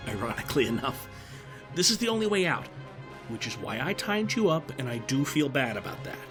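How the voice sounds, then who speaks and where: A man's voice: mid-range voice, big pitch swings, normal speed, over loud music, lightly acted. A man playing a rueful, self-sacrificing fellow with a hesitant, understated, casual manner. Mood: wry, apologetic.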